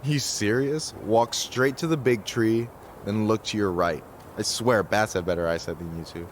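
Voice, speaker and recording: A man playing a joking, warm-hearted young friend in a video game, bantering in a natural, playful way. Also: fairly low pitch, moderate pace, natural voice. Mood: playful teasing. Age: young adult. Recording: faint outdoor ambient noise behind.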